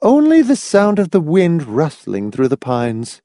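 A woman's voice: low-pitched, huge pitch swings, measured pace, warm tone, dry recording, studio quality, voice pushed up, heavily acted. A man reading a story, voicing a fussy, gushing, complacent old woman with a prim, matter-of-fact manner. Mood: genteel fussing. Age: older.

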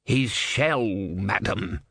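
A man's voice: lowish voice, lively intonation, drawn-out words, fairly bright tone, breathy edge, dry recording, studio quality, heavily acted. A man voicing a small, grumpy male tortoise god who mutters in a dull, resigned way. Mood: sardonic.

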